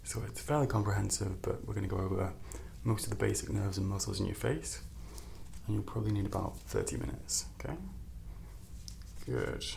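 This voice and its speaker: A man speaks softly and gently as a doctor in an ASMR medical roleplay, reassuring and unhurried, at times dropping toward a whisper. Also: lowish voice, gravelly, slight background noise. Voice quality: soft, hushed, at times whispered. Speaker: ASMR performer playing a doctor.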